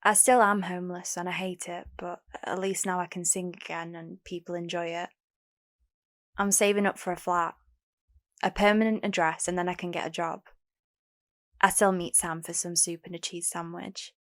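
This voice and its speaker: Casting: actress as young homeless singer, guarded yet hopeful, slightly low voice, candid audio drama delivery. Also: female voice, level tone, moderate pace, natural voice. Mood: reflective, candid. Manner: plain-spoken, candid, short replies. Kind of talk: first-person audio drama narration and dialogue.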